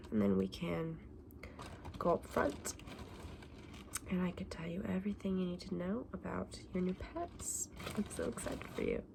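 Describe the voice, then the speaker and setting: A woman playing a kindly, chatty fantasy saleswoman in an ASMR roleplay, mixing breathy whispers with soft, gentle, unhurried speech. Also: mid-range voice, noisy background. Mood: warm, pleased. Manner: gentle, unhurried, hesitant, endearing customer address. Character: kindly, chatty saleswoman in a dragon egg shop.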